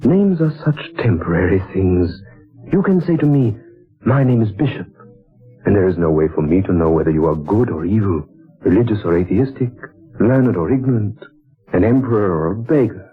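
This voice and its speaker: An actor voices a wise older stranger with a very deep, unhurried voice. He delivers every line as a solemn pronouncement, patient and measured. The sound is thin and boxy, like an old radio broadcast with faint music beneath. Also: expressive, narrow, tinny audio, lightly acted. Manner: slow, grave, measured pronouncements. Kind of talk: 1940s radio acting.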